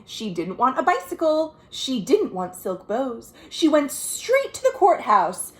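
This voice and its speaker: An American woman, a children's librarian, reading a picture book aloud in an expressive, sing-song way with chatty asides to young listeners. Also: high voice, measured pace, reverberant. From United States. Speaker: children's librarian hosting a virtual storytime. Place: at home.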